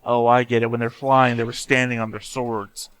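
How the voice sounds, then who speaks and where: A man's voice: medium pitch, little pitch movement, moderate pace, fairly bright tone, smooth, dry recording. A man giving casual, off-the-cuff commentary as he watches a show, blunt and given to casual swearing. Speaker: reaction video host.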